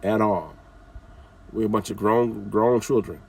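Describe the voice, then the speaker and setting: A man with a low, gravelly and husky voice scolds his listeners directly, with casual slang and many repeated phrases. He sits back from the mic, so he sounds distant. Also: a little slow, a little mellow. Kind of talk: informal motivational talk.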